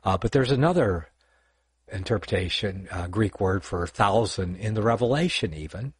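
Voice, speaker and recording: A man's voice: on the low side, expressive, moderate pace, breathy edge, dry recording, studio quality. An older man teaching Bible interpretation on a livestream in a rambling way, with many fillers and self-corrections.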